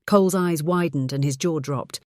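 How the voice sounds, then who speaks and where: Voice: medium pitch, moderate pace, no echo, studio quality. An audiobook narrator reading a scripted story.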